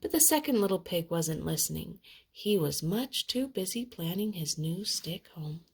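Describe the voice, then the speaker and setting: Woman storyteller, children's read-aloud, expressive, dramatic, breathy voice, unhurried, swooping intonation. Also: lowish voice.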